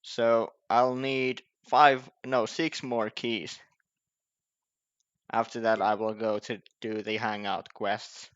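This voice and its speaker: A man giving casual gameplay commentary, thinking aloud in a halting, repetitive way as he plans. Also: lowish voice, flat delivery, fairly bright tone, no room sound. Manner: halting, repetitive, planning as he goes. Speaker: gaming video creator. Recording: dull lo-fi mic, game music behind. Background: faint game music.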